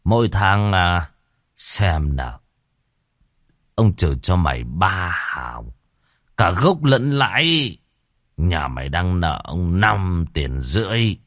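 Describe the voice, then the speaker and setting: A man doing a gruff, drawling greedy old landlord. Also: low voice, big pitch swings, a bit bright, dry recording, studio quality, full character voice. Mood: scheming. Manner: slow, gruff, bullying drawl. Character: menacing greedy landlord, man in his fifties.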